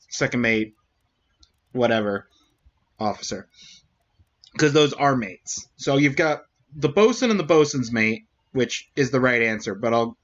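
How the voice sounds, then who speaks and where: A man's voice: medium pitch, a bit bright, highs cut off. A man casually commentating a game stream, thinking aloud as he reasons through clues.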